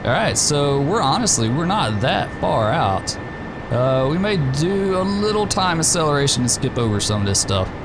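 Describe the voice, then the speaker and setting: Slightly hoarse man chatting over gameplay. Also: a little high, normal speed, noisy background. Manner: relaxed, rambling, many fillers. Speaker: gaming channel host. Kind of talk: casual gameplay commentary.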